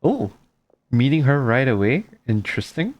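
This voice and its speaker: Very low-voiced woman streaming a game. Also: big pitch swings, normal speed, a little mellow, slight background noise. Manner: offhand, musing aloud, reading dialogue. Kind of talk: casual playthrough commentary. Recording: game music behind.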